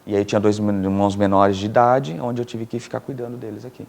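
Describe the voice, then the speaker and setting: A middle-aged man from Brazil chats as a guest on a TV interview show. His fairly low voice turns animated as he tells his stories, often breaking into laughter. His speech is loose and conversational, full of fillers and restarts. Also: fast talker, vocal fry. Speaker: interview guest, filmmaker and producer. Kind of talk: casual storytelling in a TV interview.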